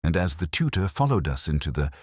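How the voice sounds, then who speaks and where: A man narrating a scripted first-person detective story in a measured, literary, brooding manner. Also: sits low, sing-song, no room sound, studio quality.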